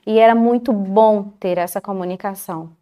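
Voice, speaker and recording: Calm woman telling her story. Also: medium pitch, normal speed, clear, resonant tone, muffled recording. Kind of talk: first-person life story in a TV interview.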